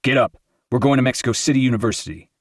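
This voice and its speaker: A brash, worldly young poet, as a male narrator reads him, pushing his point with emphasis. His voice sits a little above the narrator's low tone. The sound is dry and close. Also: moderate pace, darkish tone, dry recording, distant mic, lightly acted. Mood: scolding. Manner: hectoring, emphatic.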